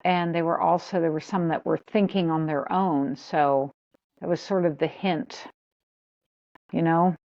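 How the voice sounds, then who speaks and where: A woman's voice: low-pitched, steady tone, measured pace, mellow. A woman co-hosting a fan podcast in casual chat, hesitant and searching for words. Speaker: podcast co-host. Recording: dull lo-fi mic.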